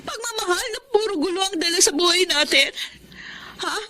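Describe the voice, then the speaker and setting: A young woman with a very high, bright voice, a wounded yet firm daughter in a radio melodrama. She speaks emphatically, pleading and standing her ground with open emotion. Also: animated, moderate pace, slight background noise, heavily acted. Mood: anguished, pleading. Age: young adult. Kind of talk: melodramatic radio drama acting.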